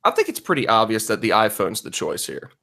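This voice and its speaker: A man's voice: lowish voice, wildly swooping pitch, a little fast, vocal fry, sharp tone. A man co-hosting a comedy podcast, arguing in an emphatic, mock-serious way during casual banter.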